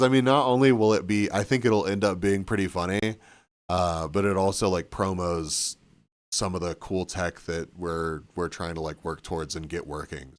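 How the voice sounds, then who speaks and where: A man with a deep, low voice talks loosely to his live stream chat, rambling and trailing off. He sounds sniffly and a little hoarse, like he's losing his voice. The sound is dry and close. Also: no echo. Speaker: livestreamer. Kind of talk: casual chat with stream viewers. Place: cabin room.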